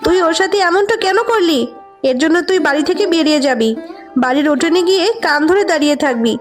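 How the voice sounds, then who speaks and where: A woman's voice: sits high, quick pace, faint music behind, lightly acted. A woman voicing a motherly aunt in a children's cartoon, caring but firm. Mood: scolding.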